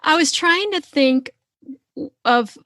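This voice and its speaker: Woman podcast host, higher-pitched, close dry sound. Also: animated, takes it slowly, pushed and bright, no echo. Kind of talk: casual interview conversation.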